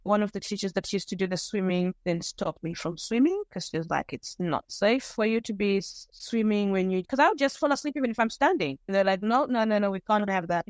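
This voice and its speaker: A woman from Zimbabwe, a podcast guest, telling her own story candidly, with pauses and restarts. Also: mid-range voice, smooth, no echo. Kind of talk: personal storytelling interview.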